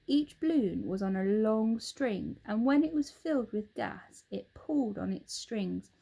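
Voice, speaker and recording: A woman narrating a children's story aloud in an expressive storytelling style. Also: mid-range voice, unhurried, creaky, husky. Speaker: storybook reader.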